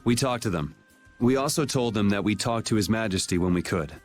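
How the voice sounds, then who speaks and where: Laid-back anime game hero, male performer: young, cool, low voice, quiet resolve, background music. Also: lively intonation, fairly quick, natural voice. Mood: relaxed. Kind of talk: English anime game dub acting.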